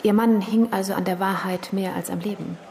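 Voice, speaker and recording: A woman's voice: mid-range voice, fairly even intonation, a little fast, gravelly, a little mellow, slight background noise, natural voice. An actress playing a sceptical female prosecutor in a radio drama, putting direct, probing questions.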